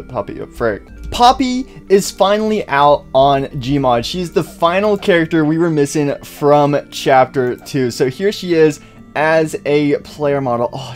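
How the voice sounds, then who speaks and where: Upbeat rambling young-sounding YouTuber man. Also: fairly high pitch. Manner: upbeat, rambling with many fillers. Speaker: YouTuber host. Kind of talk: casual game mod review commentary.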